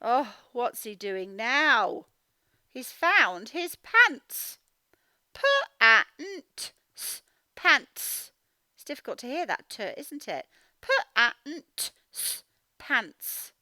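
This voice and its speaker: Animated high-pitched woman teaching young children. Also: drawn-out words, no room sound. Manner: sounds out words, animated questioning of children. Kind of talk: interactive storybook read-aloud lesson.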